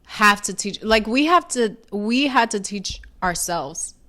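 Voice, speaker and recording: A woman's voice: mid-range voice, expressive, a little slow, sharp tone. A young woman, the younger sister co-hosting a casual chat, blunt and teasing, with casual swearing. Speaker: podcast co-host.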